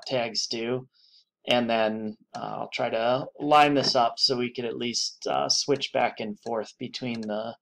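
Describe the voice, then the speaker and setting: A man giving an unscripted screen-recorded software tutorial, thinking aloud with many pauses and restarts. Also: mid-range voice, gravelly.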